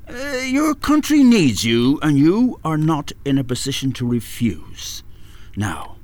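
A pompous Irish kidnapper in a darkly comic radio play. His pitch swoops theatrically and his pace is slow. He sounds officious and menacing, like a self-important official. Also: wildly swooping pitch, a little mellow, no echo, exaggerated voice. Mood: smugly menacing. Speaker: actor.

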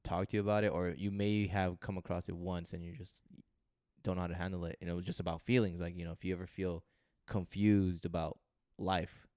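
A young man talks easily on a podcast, slangy and relaxed, dropping "you know" all through. His voice sits low and comes through clear and resonant. The sound is close and dry. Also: a little fast, dry recording. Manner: laid-back, slangy, lots of "you know". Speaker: podcast co-host. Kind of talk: casual chat.

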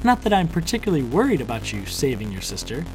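A man's voice: mid-range voice, huge pitch swings, fast, music in the background, poor audio. A man voicing a wicked woman spellcaster in an audiobook, with a taunting, menacing, emphatic delivery.

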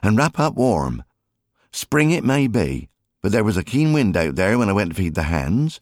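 A man's voice: fairly low pitch, big pitch swings, normal speed, darkish tone, dry recording, clean studio sound, pitched above the performer's own voice. A man voicing a firm, fond country wife and mother in an audiobook, scolding in folksy, no-nonsense speech. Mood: brisk, fond. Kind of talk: audiobook character acting.

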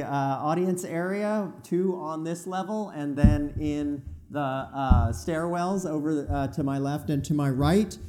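Mellow-voiced forum moderator. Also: medium pitch. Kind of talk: giving audience instructions.

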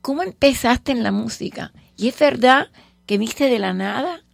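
Lady radio host firing off questions, super gravelly, dry studio sound. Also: a little high, lively intonation, no echo. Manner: brisk, quick follow-up questions. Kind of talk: radio interview.

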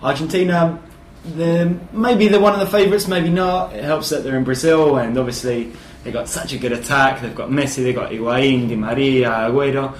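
A man co-hosting a casual football podcast, talking in an animated, emphatic way and swearing casually. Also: medium pitch, a little fast, hardly any pauses, echoey room, slight background noise. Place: living room.

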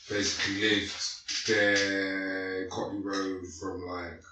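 A man's voice: low voice, flat delivery, takes it slowly, sharp tone, distant mic, a little hiss or hum. A man in his late thirties with a London accent, telling his life story in loose, slangy casual chat full of fillers. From North West London, England. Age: late 30s. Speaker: guest. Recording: echoey room, away from the mic.

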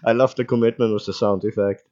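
A man's voice: on the low side, steady tone, fast talker, warm tone, lo-fi sound. A man co-hosting a podcast, chatting casually with dry teasing humor and frequent laughter. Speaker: podcast co-host.

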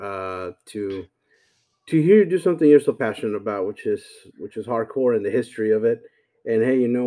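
A man in his forties speaks as a podcast guest in a very dark, mellow voice of medium pitch. He is thoughtful and polite, feeling his way haltingly through reflective, conversational answers. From El Salvador. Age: 40s.

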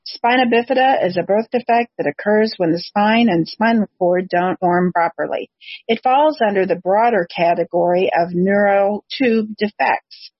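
A woman's voice: mid-range voice, normal speed, slightly rough, no echo. A woman hosting an interview podcast, moving between scripted introductions and questions for a guest. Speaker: podcast host. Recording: dull, lo-fi, slightly muffled audio.

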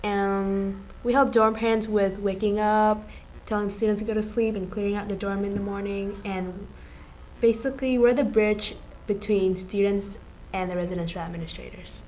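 A teenage girl from Vietnam answers as a podcast guest, talking quickly and loosely with little fillers. Her voice sits at a middle pitch. Faint room noise hums behind her. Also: fairly even intonation, darkish tone, low-quality recording. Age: teens. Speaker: student guest. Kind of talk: casual interview answers.

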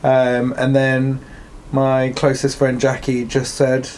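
A man's voice: mid-range voice, completely flat delivery, takes it slowly, darkish tone, a little hiss or hum. A man in his twenties with a posh English accent, co-hosting a podcast in casual, chatty talk with some hesitant fillers. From England. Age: 20s. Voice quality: posh, plummy voice. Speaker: podcast co-host. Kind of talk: casual chat.

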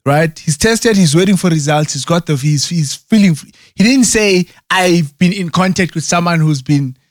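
A man's voice: sits high, normal speed, dry recording, clean studio sound. A man in casual chat who rambles, restarts often and keeps checking in with "right?". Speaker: conversation partner.

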